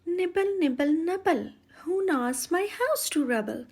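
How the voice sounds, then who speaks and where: A woman's voice: extremely high pitch, expressive, measured pace, darkish tone, voice pushed up, heavily acted. A woman voicing a wicked old storybook witch, sly and bossy, with a commanding, menacing manner. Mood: eerie calling. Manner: commanding, scolding, falsely sweet when coaxing.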